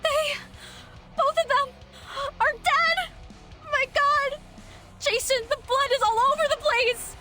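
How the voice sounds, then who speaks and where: A frightened young woman in a horror game. Her voice is extremely high, bright and slow, with dramatic, high-strung anxiety. Faint music sits behind her. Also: steady tone, exaggerated voice. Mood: tearful panic. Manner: dramatic, anxious. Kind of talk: video game voice acting.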